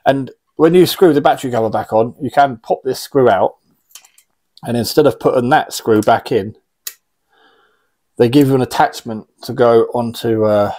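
A man's voice: medium pitch, darkish tone. A man in his forties chatting informally and rambling a little as he gives a casual hands-on product demonstration. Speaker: radio hobbyist presenting an unboxing. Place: small indoor radio room.

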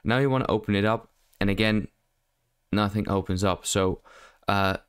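A man narrates a casual how-to in a smooth, slightly low voice. His delivery is hesitant and loosely improvised, as if explaining while he works. The sound is close and dry. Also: moderate pace, dry recording. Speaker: tutorial host. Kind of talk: casual software tutorial voice-over. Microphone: close mic, screen-recording voice-over.

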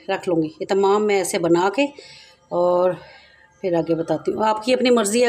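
A woman's voice: medium pitch, a little mellow. A woman hosting a home cooking channel, talking her viewers through a recipe in a chatty, repetitive way while she cooks in her kitchen. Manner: chatty, repeats herself, addresses viewers directly. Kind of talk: home cooking tutorial, casual explaining. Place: home kitchen, over a stovetop.

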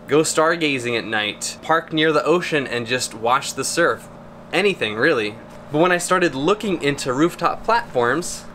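An enthusiastic man in his thirties talks to the camera outdoors beside his van, friendly and casual, with a laid-back slang-filled delivery. His voice is bright and forceful, at a middling pitch. A faint outdoor hiss sits under the recording. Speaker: vlogger. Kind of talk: upbeat how-to vlog.

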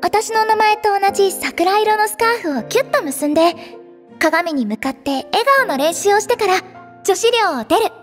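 A woman playing a cheerful, earnest teenage girl idol who narrates an anime audio drama in a sweet, expressive way. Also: extremely high pitch, moderate pace, big-room sound. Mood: bright, gentle. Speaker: narrator and lead character. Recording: music behind the voice.

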